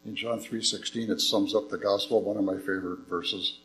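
Older man, earnest church speaker, slightly hoarse, low and gravelly, roomy echo. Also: steady tone, normal speed, fairly bright tone, slight background noise. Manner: earnest, folksy storytelling. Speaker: visiting ministry speaker. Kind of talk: church appeal talk. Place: church sanctuary.

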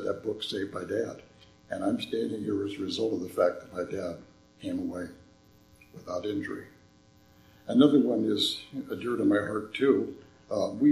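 An older man speaking in a church, making an earnest, folksy appeal with a slightly hoarse voice. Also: on the low side, roomy sound, a little hiss or hum, low-quality recording. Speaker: visiting ministry speaker. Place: church sanctuary.

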